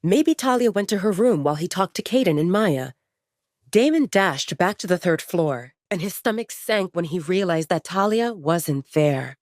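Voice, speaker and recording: A woman narrates dramatic fiction in a fairly low voice. The recording is dry, with no room around her, and the delivery is scripted audiobook storytelling. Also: lively intonation, normal speed, a little mellow, no echo.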